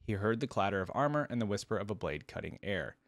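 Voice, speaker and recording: A man hosting a reaction video, reading lore aloud and adding casual, informal asides as he thinks out loud. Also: on the low side, big pitch swings, fast, no echo.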